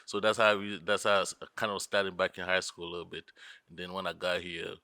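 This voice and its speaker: A man's voice: low-pitched, level tone, fairly quick, sharp tone, no room sound. A man from Cameroon answering questions about his video work, hesitant and laughing often. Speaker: video director. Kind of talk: casual interview answers.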